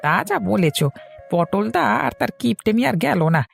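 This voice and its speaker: A woman puts on a jolly, doting village husband for a cartoon story. The voice is creaky and swings widely in pitch. He chats and coaxes eagerly, with faint music underneath. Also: big pitch swings, normal speed. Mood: eager, chatty. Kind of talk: animated cartoon story dialogue.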